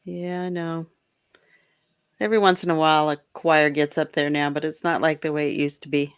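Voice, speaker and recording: A woman's voice: low voice, little pitch movement, normal speed, clear, resonant tone, no room sound, clean studio sound. A middle-aged woman who is a guest on a casual chat show, easygoing and laughing often.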